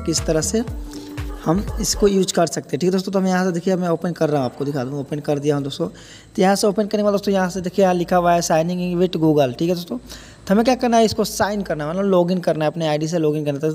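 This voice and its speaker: A man talks quickly in a fairly high voice, walking viewers through a phone app step by step. He keeps addressing them as friends, in an informal, repetitive way. The recording rings like a hall. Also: a little mellow, soft background music. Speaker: host of a tech tutorial channel. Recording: echoey hall-like room, faint noise.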